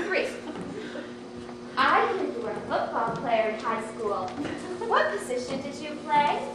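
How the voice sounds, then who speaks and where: A woman playing a fussy, genteel aunt in a stage comedy, gushing and lecturing in a theatrical manner. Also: sits high. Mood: prim, instructive. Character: fussy, genteel Southern aunt coaching her shy niece. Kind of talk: stage acting in a one-act comedy. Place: theater stage, recorded from a distance. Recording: echoey stage, distant mic, hissy background noise.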